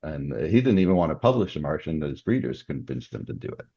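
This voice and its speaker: A man speaking as a guest author in a relaxed interview, explaining things through examples. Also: extremely low pitch, fast, vocal fry, breathy edge, no room sound. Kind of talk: relaxed interview conversation about writing. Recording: dull, muffled lo-fi audio.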